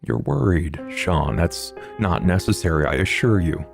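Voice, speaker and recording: A man in a tabletop game playing a huge old vampire who speaks formally, with measured calm and quiet threat. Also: low voice, creaky, full character voice. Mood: quietly menacing. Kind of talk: tabletop roleplay in character. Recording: music bed under the voice.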